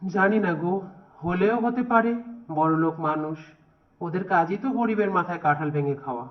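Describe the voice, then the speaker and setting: A man's voice: high-pitched, moderate pace, lightly acted. A man voicing a poor, worried village father in a cartoon, humble and pious. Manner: pleading, weary. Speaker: voice actor. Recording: dull, lo-fi recording.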